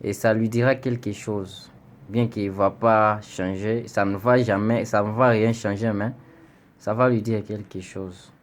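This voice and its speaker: A man's voice: lowish voice, steady tone, measured pace. A young man from Congo speaking an earnest, pleading video message to his president, with stammered repetitions. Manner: earnest, pleading, rising into indignation with repeated stammered words. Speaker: citizen addressing the president.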